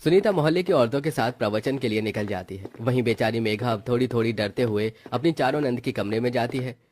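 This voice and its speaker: A man serves as narrator of a cartoon story. His voice has an average male pitch. The delivery is scripted storytelling, moving the tale along between character lines. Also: a little fast, darkish tone, a little hiss or hum.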